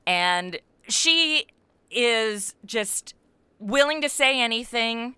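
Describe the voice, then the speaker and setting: Bright, clear-voiced American woman chatting animatedly. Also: fairly high pitch, drawn-out words, no echo. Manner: animated, informal, peppered with like and you know. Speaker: interview guest, comedy podcast writer and voice actor. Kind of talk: casual interview chat about own creative work.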